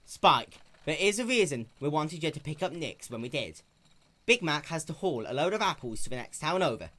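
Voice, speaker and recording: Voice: medium pitch, sing-song, normal speed, fairly bright tone, voice pushed up. A performer voicing a plain-spoken, hardworking young country mare with an earnest, folksy rural drawl. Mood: worried.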